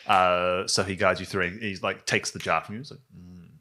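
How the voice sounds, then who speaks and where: Low, bright-voiced man running a game. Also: fairly even intonation, fairly quick. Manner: casual, descriptive, joking. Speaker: game master. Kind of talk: improvised comedic tabletop game narration.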